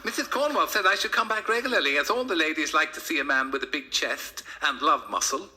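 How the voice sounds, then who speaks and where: A man's voice: on the high side, animated, quick pace, bright, forceful tone, pitched above the performer's own voice. A man voicing a childish, innocent grown man in a comic storybook reading, earnest and simple. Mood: naive, eager. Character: John, childish innocent man, eager and naive.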